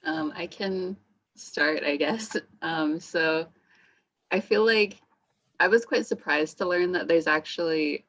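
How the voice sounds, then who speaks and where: Low-voiced young woman on a muffled call. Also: slow. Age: young adult. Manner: hesitant, frequent "like", laughs softly. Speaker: student panelist. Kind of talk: casual panel talk over video call.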